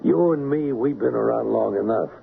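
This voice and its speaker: An actor playing a cocky, sardonic hired killer in a radio crime drama, with a gravelly, slangy, mocking drawl. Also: male voice, medium pitch, moderate pace. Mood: mocking, unfazed. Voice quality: gravelly, creaky. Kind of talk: old-time radio crime drama acting. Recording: narrow, noisy old radio broadcast.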